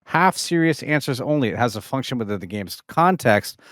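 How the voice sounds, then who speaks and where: A man on a casual podcast who reads listener questions and delivers crude jokes deadpan. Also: medium pitch, a little fast, no echo, studio quality. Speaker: podcast guest.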